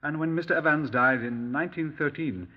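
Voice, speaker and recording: An actor playing a talkative, parochial Welsh country police sergeant with a quaint, emphatic manner in a 1950s radio drama. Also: male voice, a little high, brisk, close to an everyday voice. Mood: gossipy, explanatory. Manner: quaint, emphatic, tag questions. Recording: dull, husky-edged 1950s radio recording.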